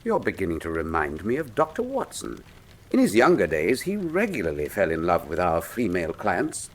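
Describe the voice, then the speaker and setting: Theatrical, animated English detective. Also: male voice, deep voice, moderate pace, full character voice, rough recording. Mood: wry. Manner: theatrical, precise, formal diction. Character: shrewd, imperious, wryly witty English male detective. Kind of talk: full-cast radio drama acting.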